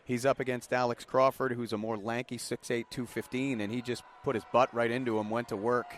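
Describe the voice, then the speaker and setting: Male sports color analyst: medium pitch, colloquial and conversational breakdowns, faint arena noise underneath. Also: little pitch movement, brisk. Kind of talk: live basketball color commentary. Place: indoor basketball arena. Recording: live arena broadcast with game noise.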